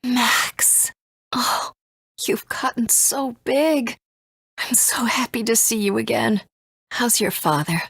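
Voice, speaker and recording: A woman voicing a gentle, earnest mother and resistance fighter in a video game, soft and breathy, speaking in a measured, tender way. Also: on the high side, no room sound, clean studio sound, exaggerated voice. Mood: tender, wistful. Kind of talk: English video game voice acting.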